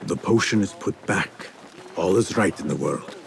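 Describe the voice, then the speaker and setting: An actor voicing an exasperated young infiltrator posing as a servant, dry and measured, in a video game cutscene. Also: male voice, sits low, lively intonation, creaky, background noise. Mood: impatient, muttering.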